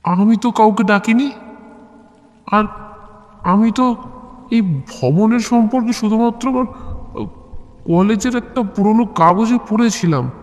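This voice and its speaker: Nervous young job seeker acted by a male narrator, horror audio drama: raised pitch, hesitant pleading, long reverb. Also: a little slow, pauses often. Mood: bewildered, protesting. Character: frightened, bewildered young job-seeking man.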